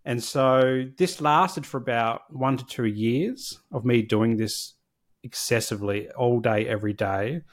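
A man's voice: mid-range voice, unhurried. A man, a podcast guest candidly and reflectively telling his personal story. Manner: candid, reflective, with frequent restarts.

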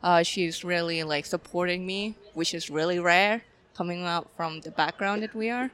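A young woman from Thailand with a Thai accent, a film director answering interview questions in a halting, casual way with many fillers. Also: lowish voice, steady tone, fairly bright tone, clean tone.

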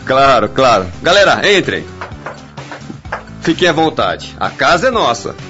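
A man's voice: medium pitch, sing-song, measured pace, bright, forceful tone. Boisterous, tipsy young man. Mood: welcoming. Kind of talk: radio drama acting. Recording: dull radio play with faint music.

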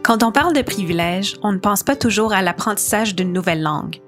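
A woman reading scripted narration in a clear, even manner. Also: mid-range voice, brisk, over music.

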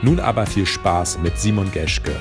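A man hosting a podcast, reading a calm scripted intro and then asking interview questions. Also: low voice, a little slow, over music.

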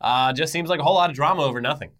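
A man's voice: on the high side, racing, fairly bright tone. A man co-hosting an entertainment news show, giving wry, conversational commentary and reading news and sponsor copy casually.

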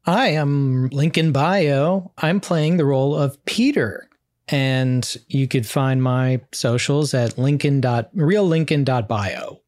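Casting: male guest voice actor, middle pitch, casual conversational read, dry sound. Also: slow pace, darkish tone, no room sound. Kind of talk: casual chat.

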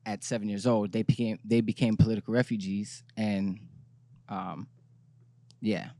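A man from Iraq answering interview questions softly and hesitantly, with pauses and fillers. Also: fairly low pitch, flat delivery, no echo. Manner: hesitant, soft-spoken. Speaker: guest musician. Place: studio.

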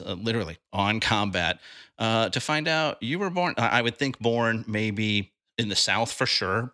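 Man, host, relaxed interviewer, lower pitch, dry close sound. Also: no room sound. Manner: relaxed, with many fillers. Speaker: podcast host. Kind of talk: conversational interview.

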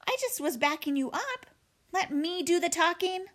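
A woman's voice: very high voice, lively intonation, normal speed, a bit bright, pitched above the performer's own voice. A young storybook bear cub, put on by a woman. He is the eager little brother, trying to sound tough. He comes across whiny and easily put out. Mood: defensive, sulky.

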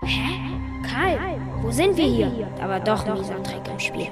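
Young girl as a brash robber boss, high-voiced. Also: big pitch swings, normal speed, over music. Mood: disgruntled. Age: child or preteen. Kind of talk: school radio play acting.

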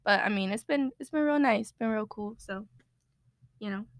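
A woman's voice: medium pitch, moderate pace, no room sound, clean studio sound. A woman in her twenties, co-hosting a podcast in a casual, bubbly chat, a little nervous and chatty. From United States. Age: 20s. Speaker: podcast co-host.

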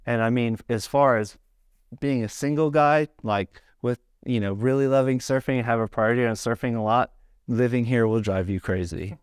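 A man's voice: medium pitch, moderate pace, clear, resonant tone, no room sound, clean studio sound. A middle-aged man talking casually, rambling with lots of "like" and "you know.". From South Carolina, born in Hawaii. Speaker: podcast guest, surfboard shaper. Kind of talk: casual conversation.

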